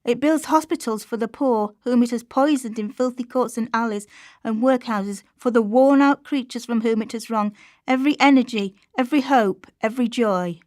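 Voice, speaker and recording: A woman playing an impassioned Victorian social campaigner in a radio play, speaking in a measured, declamatory way. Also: on the high side, a little mellow, no room sound, studio quality, close to an everyday voice. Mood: indignant. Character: impassioned female Victorian campaigner denouncing workers' misery. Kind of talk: dramatised historical speech in radio play.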